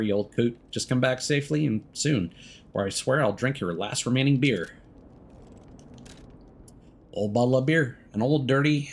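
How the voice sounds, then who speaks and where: A man livestreaming a video game, chatting informally with viewers in a laid-back, friendly way. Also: medium pitch. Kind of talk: casual let's play commentary, chatting with viewers.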